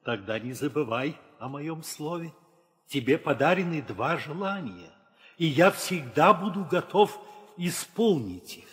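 A man's voice: a little high, lively intonation, unhurried, a bit bright. Solemn aging king, widely swooping voice. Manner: solemn, declamatory. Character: aging, weary, authoritative Indian epic king and father. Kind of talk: theatrical audio play acting. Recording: reverberant 1960 audio play record.

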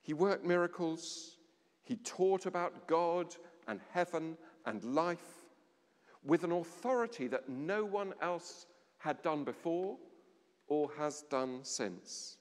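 A middle-aged man giving a sermon at a lectern in a large stone church, measured, with dramatic pauses and a voice that drops low. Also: animated. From England. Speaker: vicar. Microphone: standing at a lectern, far camera. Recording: long church reverb.

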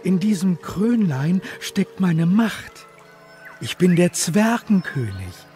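An actor playing a kindly, grateful little dwarf king in a German fairy-tale audio drama, speaking solemnly and deliberately. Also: medium pitch, very animated, mellow, faint music behind.

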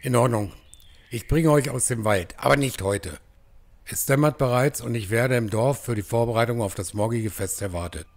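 A man playing a stern, protective forest huntsman in a fantasy audio drama, with a gruff, scolding manner. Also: mid-range voice, moderate pace, natural voice. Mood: reluctant.

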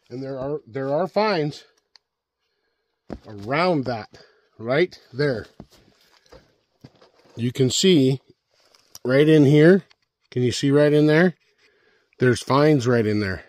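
A man's voice: mid-range voice, a little mellow, dry recording. A middle-aged man vlogging outdoors in a snowy forest, talking casually about his chainsaw and often checking in with the viewer. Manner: folksy, repeats himself, asks the viewer "right?". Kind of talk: casual outdoor show-and-tell. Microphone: helmet-mounted action camera.

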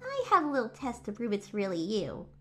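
A woman voicing a feisty, affectionate, excitable teenage superheroine in a fan comic dub, with expressive delivery. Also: a little high, fairly quick, faint music behind, full character voice. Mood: caring. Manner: expressive, exclamatory.

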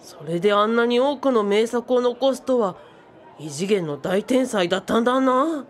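A woman's voice: on the high side, expressive, moderate pace, a faint crowd, natural voice. A woman voicing an eager, bookish boyish male sidekick who speaks politely with quirky sentence endings in a comic radio drama. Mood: shocked, indignant.

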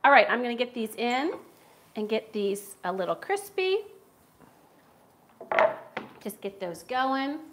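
A woman's voice: fairly high pitch, expressive, slow pace, long pauses, heavy background noise. A middle-aged woman with a Philadelphia accent hosting a live cooking stream from a large home kitchen, chatting and laughing as she cooks, picked up by a camera across the room. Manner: chatty, laughs often, talks while cooking. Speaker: cooking show host. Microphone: far camera.

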